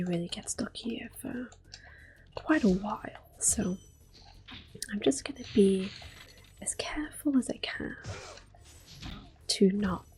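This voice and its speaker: A woman's voice: a little high, low-quality recording. Whispering woman, ASMR game commentary. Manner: hushed, unhurried, gentle. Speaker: host of an ASMR gameplay series. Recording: clicking controller noise. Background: controller clicks.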